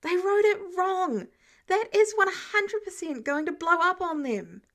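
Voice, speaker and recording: A woman playing an eager teenage boy science prodigy in an audiobook, talking in an excitable, earnest way. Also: very high voice, unhurried, pitched above the performer's own voice, full character voice. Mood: exasperated.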